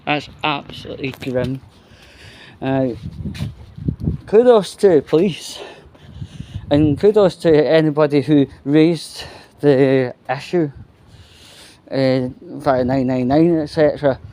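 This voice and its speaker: A Scottish man giving an unscripted opinion monologue as a vlogger, in halting, broken phrases with repetition. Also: a little high, warm tone.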